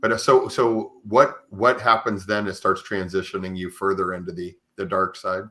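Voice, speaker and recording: Man, very low voice, podcast host, conversational interviewing, halting, word-searching delivery. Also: little pitch movement, a bit bright. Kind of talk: conversational interviewing with personal commentary.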